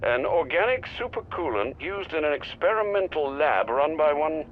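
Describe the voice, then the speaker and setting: A loyal older butler in a video game, formal and politely concerned. His fairly high voice moves with very lively intonation, over clear background noise. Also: moderate pace, narrow, tinny audio. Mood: calm, concerned. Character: loyal, proper older male butler assisting a vigilante. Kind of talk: dramatic video game acting.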